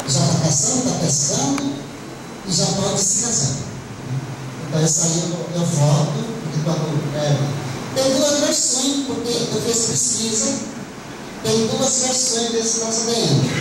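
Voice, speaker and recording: A middle-aged woman whose first language is Terena speaks haltingly and restarts her sentences often. Her voice sits in a middle pitch and comes from some distance off the mic. It rings through a heavily echoing hall with steady noise behind it. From Mato Grosso do Sul, Brazil. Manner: halting, with frequent pauses and restarts. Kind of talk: round-table talk. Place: large hall at a conference.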